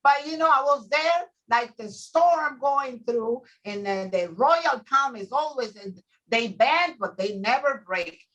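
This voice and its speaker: Earnest lady lawyer, 2nd language english, trips over words, bright voice. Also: high voice, expressive, slow pace, lo-fi sound. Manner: earnest, repeats words while finding them. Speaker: guest, immigration attorney. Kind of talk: casual panel talk.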